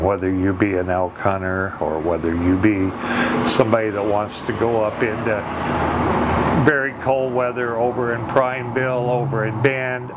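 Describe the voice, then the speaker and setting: A middle-aged man with a loud voice giving an enthusiastic sales walkaround, listing features one by one. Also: mid-range voice. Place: outdoors by a trailer on a lot, then inside the trailer. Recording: camera audio with road noise. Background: road and traffic noise.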